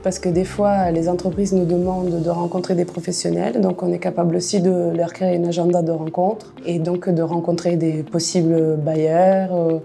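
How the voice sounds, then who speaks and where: A woman's voice: lowish voice, flat delivery, normal speed, gravelly, few pauses, dark tone. A woman from southern Italy with an Italian accent, explaining her events work in a promotional interview. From Naples, Italy. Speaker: trade fair and events manager.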